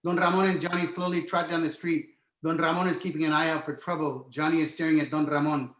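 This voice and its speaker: A man narrates a screenplay, reading out the stage directions. His voice sits a little high, and the room adds a clear echo. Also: flat delivery, fast talker, fairly bright tone. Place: indoor room on virtual call. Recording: echoey room.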